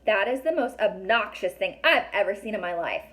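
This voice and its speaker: A woman's voice: medium pitch, expressive, fairly quick, fairly bright tone, natural voice. A woman reading as a sarcastic, wary young boy. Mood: disgusted.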